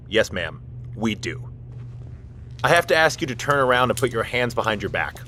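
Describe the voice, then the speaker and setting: An actor playing a stern military police officer in an audio drama, formal and clipped in manner. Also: male voice, medium pitch, very animated, normal speed, pushed and bright, a little hiss or hum. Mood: grave, formal.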